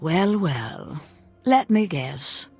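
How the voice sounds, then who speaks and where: A proud, world-weary assassin lady of middle age from a space fantasy game. Her voice is low and raspy with a sardonic edge, over soft music. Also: very animated, drawn-out words, heavily acted. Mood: dismissive. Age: middle-aged. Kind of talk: video game voice acting.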